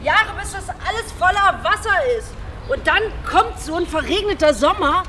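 A woman's voice: very high voice, expressive, normal speed, noisy background. An actress playing a blunt, slangy young woman who is hurt and cutting toward her boyfriend in a naturalistic radio drama. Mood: excited. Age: young adult.

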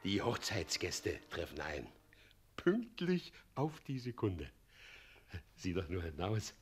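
An actor in a German radio play playing a pompous, volatile city president and bridegroom, theatrical, swinging between coaxing murmurs and barked orders. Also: male voice, deep voice, very animated, slow, breathy edge, soft background music, full character voice. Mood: cloying, coaxing.

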